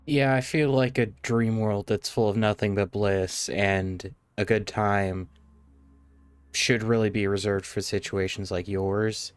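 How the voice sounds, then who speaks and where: A man's voice: lowish voice, fairly even intonation, a little slow, clean tone, no echo, faint music behind. A man giving casual live game commentary as a streamer, blunt and sardonic.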